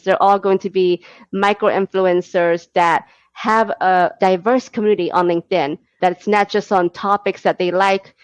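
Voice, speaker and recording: A woman's voice: mid-range voice, no echo. A woman guest on a podcast, talking eagerly about a big vision and laughing between thoughts. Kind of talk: casual interview talk. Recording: dull dry lo-fi audio.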